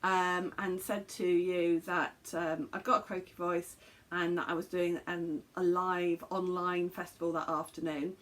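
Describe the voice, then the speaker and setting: A woman's voice: on the low side, little pitch movement, a little slow, fairly bright tone. Chatty English woman rambling to camera. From England. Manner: chatty, rambling with many fillers and self-corrections. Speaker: podcast host. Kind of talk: casual chat to camera. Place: small bedroom craft room, indoors.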